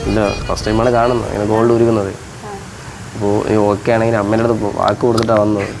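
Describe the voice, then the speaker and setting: A man's voice: on the low side, little pitch movement, racing, over music, rough recording. A man chatting casually and playfully as a prank host, teasing and repeating his questions insistently.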